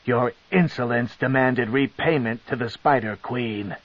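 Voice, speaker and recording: A man voicing a cold, scheming female dark elf matriarch, with a slow, commanding and sneering delivery. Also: mid-range voice, natural voice. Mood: angry. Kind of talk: audiobook character reading. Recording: dull lo-fi tape.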